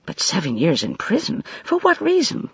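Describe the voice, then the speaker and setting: A man's voice: a little high, huge pitch swings, normal speed, a bit bright, slightly rough, no room sound, muffled recording, exaggerated voice. A man playing an inquisitive, kindly woman visitor in an audiobook, questioning frankly. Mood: probing. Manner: questioning, frank, easily startled.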